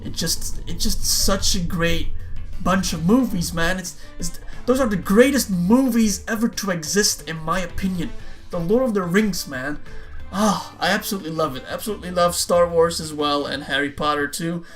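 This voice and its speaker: A man's voice: high voice, normal speed, a bit bright, faint music behind. A young man whose first language is Dutch, answering viewer questions in a casual, rambling way, often repeating words and saying "man". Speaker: YouTuber.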